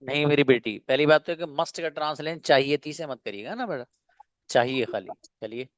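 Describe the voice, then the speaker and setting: A man's voice: a little high, a little fast, no room sound, muffled recording. A man teaching an online class, calling on students one by one and giving short, encouraging feedback. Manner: brief, encouraging, addresses students as beta and babu.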